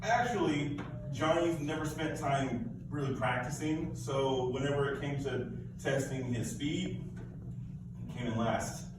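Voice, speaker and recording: A man's voice: medium pitch, a little slow. A man narrating a live puppet show for children in a playful, informal way, with some hesitations. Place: large echoey room, live performance. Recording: echoey, noisy room, far from mic.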